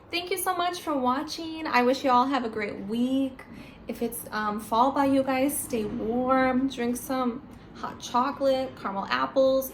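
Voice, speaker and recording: A woman's voice: fairly high pitch, a little hiss or hum. An American woman vlogging casually to her viewers in a chatty, rambling way, recorded at home. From United States. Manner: chatty, friendly, rambling asides. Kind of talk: casual vlog talk to camera. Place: home indoors at night.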